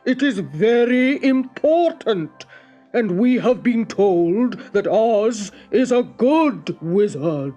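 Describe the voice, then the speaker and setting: A woman's voice: on the high side, expressive, slow, mellow, dull audio, faint music behind. Woman acting a brave, emphatic storybook lion. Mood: earnest. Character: brave, earnest male lion, loyal friend.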